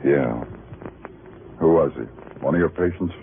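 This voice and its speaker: An actor playing a sardonic, tough police detective, clipped and wry, in a 1950s radio noir drama. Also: male voice, very deep voice, a little slow, gravelly, slight background noise, heavily acted. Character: hard-boiled, sardonic male New York police detective. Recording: narrow-band old radio recording, loud music.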